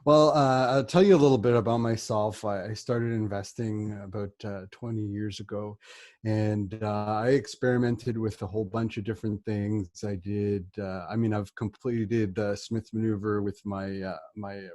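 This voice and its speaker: Low, even-toned middle-aged Canadian man. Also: moderate pace, dry recording, studio quality. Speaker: interview guest, real estate investor and coach. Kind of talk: informal spoken self-introduction in an interview.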